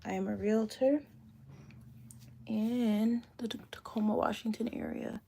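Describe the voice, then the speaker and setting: A woman in her late thirties chatting casually on a phone livestream while doing her hair, relaxed and thinking aloud. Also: a little high, unhurried, gravelly, breathy edge. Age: 30s. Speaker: realtor livestreaming a Q and A. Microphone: phone camera.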